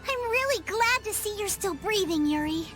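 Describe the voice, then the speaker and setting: Very high bright little adventurer girl, playful n clingy, bouncy game voice. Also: lively intonation, normal speed, faint music behind. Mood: playful, affectionate. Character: spirited young girl treasure hunter, playful and clingy. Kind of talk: video game voice acting.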